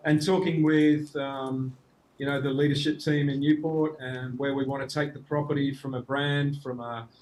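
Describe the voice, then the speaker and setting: A man's voice: mid-range voice, level tone, moderate pace, a little mellow, slight background noise, rough recording. A middle-aged Australian man answering interview questions, hesitant with frequent restarts and fillers. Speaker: guest, marketing executive.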